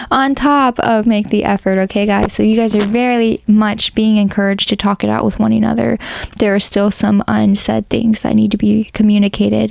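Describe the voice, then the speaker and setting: A woman with a hoarse, crackly voice narrating a pick-a-card tarot reading in a casual, rambling way, with frequent ums and likes. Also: mid-range voice, darkish tone. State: hoarse, losing her voice. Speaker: tarot reader.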